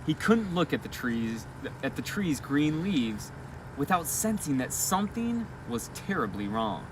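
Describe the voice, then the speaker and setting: A man reads a storybook aloud with expression, pausing for asides and questions to his listeners, recorded outdoors on a live feed. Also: on the high side, big pitch swings, noisy background. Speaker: elementary school educator reading a bedtime story. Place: outdoors on a school roof at night.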